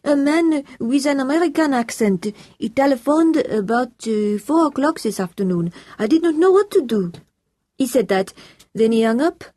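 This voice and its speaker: A woman's voice: sits high, expressive, moderate pace, mellow, no echo, pitched above the performer's own voice. A woman voicing an anxious, deferential housekeeper in an audiobook, flustered and fretful. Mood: flustered, worried.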